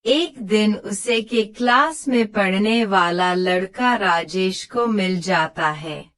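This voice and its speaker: Female narrator, scripted moral tale, very slow delivery, medium pitch. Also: fairly bright tone.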